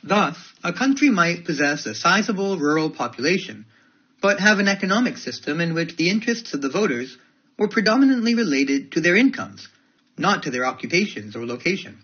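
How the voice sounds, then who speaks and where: A man reading academic passages aloud in a careful, deliberate way. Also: a little high, big pitch swings, pushed and bright, breathy edge. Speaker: reader of English test practice passages. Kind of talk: read-aloud of academic texts. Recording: dull lo-fi audio.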